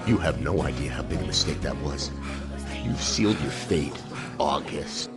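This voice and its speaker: A lecherous blackmailer for audio drama, deep-voiced and swooping through his lines with oily relish. He lingers on words with quiet menace, over loud music. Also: animated, normal speed, vocal fry, heavily acted. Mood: threatening.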